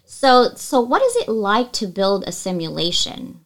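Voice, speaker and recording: A woman's voice: medium pitch, huge pitch swings, measured pace, a bit bright. Enthusiastic woman podcast host. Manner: enthusiastic, scripted opening then casual questions. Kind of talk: podcast intro and interviewing.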